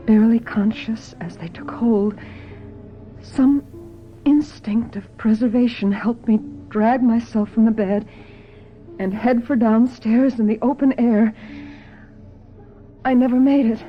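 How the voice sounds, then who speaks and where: Actress as wistful, slow-speaking lovesick young woman. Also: fairly high pitch, lightly acted. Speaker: radio drama lead and narrator. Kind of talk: radio drama acting, confessional first-person narration. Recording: dull lo-fi radio drama, music underneath.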